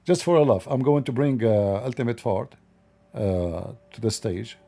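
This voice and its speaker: A man's voice: medium pitch, lively intonation, normal speed, creaky, music in the background. A man hosting a live religious debate stream, blunt and emphatic, sounding tired. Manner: blunt and emphatic, loose grammar.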